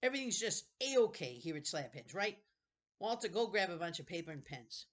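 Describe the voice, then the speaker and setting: A woman reads as a bossy boy who leads the gang in a children's storybook. He is brash and raised in pitch, breezily handing out orders. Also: big pitch swings, brisk.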